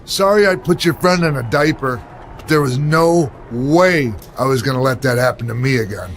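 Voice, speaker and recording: A man's voice: mid-range voice, moderate pace, slight background noise, lightly acted. A man playing an easygoing, friendly rival wrestler in a video game cutscene, casual and good-humoured. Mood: mock apologetic.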